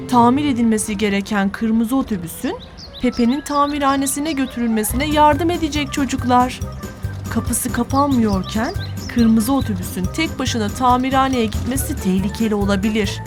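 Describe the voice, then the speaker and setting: Casting: female kids' cartoon narrator, fairly high voice, encouraging and instructive, music clearly underneath. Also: normal speed. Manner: didactic, encouraging, clearly articulated. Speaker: narrator of a children's educational cartoon. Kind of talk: scripted narration addressed to children.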